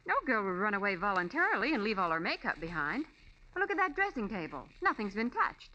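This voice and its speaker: An actress playing a perky, observant young detective's assistant in 1940s radio drama, inquisitive and animated, on a dull old recording. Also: female voice, mid-range voice, fast, a little hiss or hum. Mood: keen, insistent.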